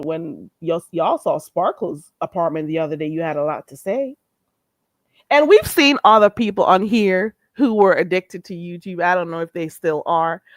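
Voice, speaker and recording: A woman's voice: medium pitch, no room sound. A woman hosting a live stream, trading blunt, profane gossip and greeting her viewers, a little stuffy-nosed. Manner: greets and thanks viewers mid-talk. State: stuffy nose. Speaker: livestream host.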